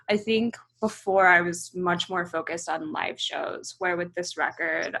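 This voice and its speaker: A woman in her thirties who grew up in Hong Kong talks through interview answers, pausing often with ums and likes. Her voice is husky with a gravelly edge, yet bright in tone and pitched a little low. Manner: relaxed, thinks aloud with many ums and likes. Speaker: musician being interviewed.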